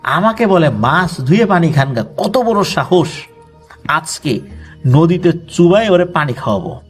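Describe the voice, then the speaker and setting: A man's voice: fairly high pitch, big pitch swings, unhurried, husky, faint music behind, full character voice. A man with a Bangladeshi accent voicing an arrogant, menacing jinn possessing a villager in a horror story, threatening and commanding. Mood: menacing threat.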